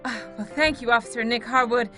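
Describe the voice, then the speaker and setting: A man voicing a sweet, swooning female husky dog who barks and gushes thanks in a cartoonish news skit. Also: very high voice, steady tone, fast talker, bright, forceful tone, soft background music, pitched above the performer's own voice.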